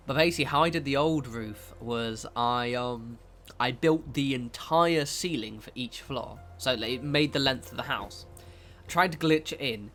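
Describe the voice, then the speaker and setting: A man's voice: mid-range voice, fairly bright tone. A man, nasal and stuffed up from a cold, talking through a game building tutorial in a chatty, rambling way that keeps correcting itself. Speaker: game video creator.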